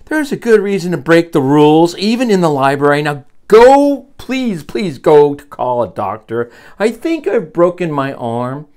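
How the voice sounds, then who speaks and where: A man's voice: medium pitch, wildly swooping pitch, a little slow, darkish tone, heavily acted. A man voicing a kindly but firm woman librarian who gives polite instructions while reading a storybook. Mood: urgent, firm.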